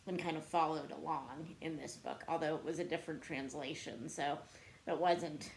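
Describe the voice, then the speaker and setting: A woman talks casually to camera as a book vlogger. Her voice sits a little low, and she takes her time with a slow delivery. She comes across as chatty and self-deprecating. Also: creaky, a little hiss or hum. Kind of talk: casual chat to camera answering book tag questions.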